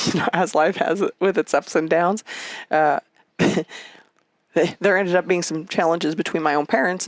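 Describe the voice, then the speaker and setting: A woman's voice: lowish voice, dry recording. A woman in her fifties from Canada, telling her life story as an interview guest in a casual, chatty and reflective way. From Canada and Egypt. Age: 50s.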